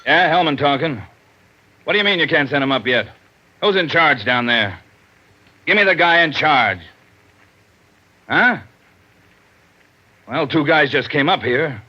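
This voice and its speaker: An actor playing a gruff, bullying, easily flustered police inspector with an American accent, blunt and badgering in a 1940s radio crime drama. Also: male voice, fairly high pitch, lively intonation, measured pace, long pauses, sharp tone, heavily acted. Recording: muffled vintage radio recording.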